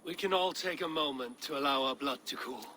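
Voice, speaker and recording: An actor playing a grave, level-headed Viking war leader in a video game, speaking in a measured, commanding way. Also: male voice, mid-range voice, bright, forceful tone, slight background noise, close to an everyday voice. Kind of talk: dramatic video game acting.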